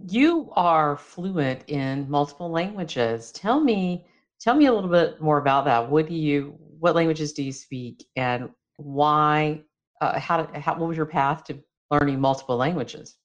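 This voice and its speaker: A man hosting a casual interview, affirming what he hears and haltingly rephrasing his questions as he goes. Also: on the high side, animated, lo-fi sound. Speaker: interviewer, host.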